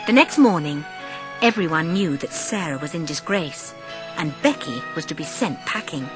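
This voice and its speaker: A woman narrating a dramatised storybook as an audiobook, with expressive, measured phrasing. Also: sits low, music in the background, poor audio.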